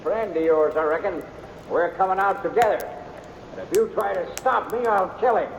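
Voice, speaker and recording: A cornered frontier killer, threatening drawl, high-pitched, echoing off cave walls, hissy old broadcast. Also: male voice, lively intonation, moderate pace, gravelly. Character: desperate wildcat killer, young man cornered in a cave. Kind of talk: 1950s radio western acting. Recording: echoey, hissy old radio recording.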